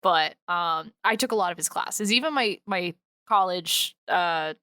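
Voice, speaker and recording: Bright-voiced woman chatting on a podcast. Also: fairly low pitch, measured pace, dry recording, clean studio sound. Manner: informal, self-interrupting storyteller. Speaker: podcast co-host. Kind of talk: casual chatty podcast talk.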